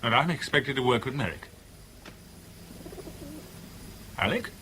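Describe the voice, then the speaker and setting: An actor playing a wry, orderly British banker in his forties in a 1970s radio drama, measured, formal and articulate. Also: medium pitch, lively intonation, bright, forceful tone. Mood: shocked. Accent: British English. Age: 40s. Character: wry, orderly forty-year-old sacked merchant banker, male. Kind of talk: 1970s British radio drama acting, first-person narration. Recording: 1970s radio recording, faint background noise.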